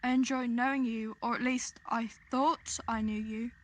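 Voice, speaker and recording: Woman playing a high-voiced inquisitive teenage girl. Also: fairly even intonation, normal speed, lightly acted. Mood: shocked, hurt. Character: eager, questioning teenage girl, loyal friend. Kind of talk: audio drama acting.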